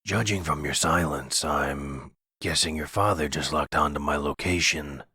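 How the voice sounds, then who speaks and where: A man playing a weary, bitter exiled mafia father on the run in an audio roleplay, slow and brooding with a gravelly, husky voice. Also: very deep voice, pushed and bright, dry recording, clean studio sound, exaggerated voice. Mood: grudging. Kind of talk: ASMR audio roleplay drama.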